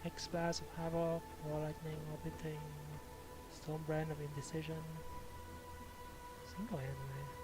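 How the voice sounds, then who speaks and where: A man from northern Vietnam gives casual, rambling, profane gameplay commentary as he streams, halting as he goes. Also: a little high, level tone, low-quality recording. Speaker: live streamer. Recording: game music behind the voice. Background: video game music.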